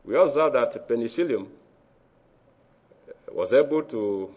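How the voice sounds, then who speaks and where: A man from Nigeria with a Nigerian accent, giving an academic research presentation in a hesitant way, with many fillers and halting phrases. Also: medium pitch, animated. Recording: dull lo-fi recording.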